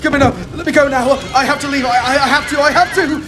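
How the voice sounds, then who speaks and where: A terrified narrator of a gothic horror tale. His delivery is halting and full of dread, stumbling over words. Music plays behind him. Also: very high voice, steady tone. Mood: panicked pleading. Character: terrified male narrator summoned to an ancient family rite. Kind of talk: gothic horror audio drama acting.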